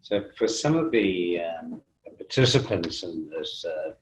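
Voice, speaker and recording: Very deep-voiced male interviewer. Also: lively intonation, unhurried, noisy background. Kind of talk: discursive, conversational interviewing. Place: video call.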